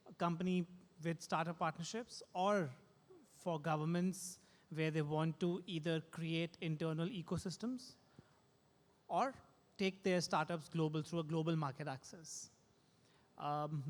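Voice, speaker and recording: Man, brisk, in a reverberant hall. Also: fairly high pitch. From India. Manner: brisk, businesslike self-introduction. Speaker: venture capital panelist. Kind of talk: conference panel introduction. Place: conference hall stage.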